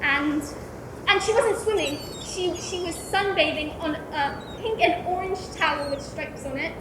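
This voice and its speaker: Literal-minded teen boy, woman in the part, flat and exact, background noise behind. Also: sits high, unhurried. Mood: recounting. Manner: flat, exact, stammers when pressed. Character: literal-minded teenage boy, blunt and precise. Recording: echoey room with background noise.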